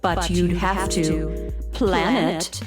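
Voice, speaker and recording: A woman's voice: fairly low pitch, fairly even intonation, very slow. A woman playing a lonely, self-doubting navigation computer of an abandoned spaceship in a children's radio play. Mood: wry, melancholy. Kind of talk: children's radio theater acting. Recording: distant voice under loud music.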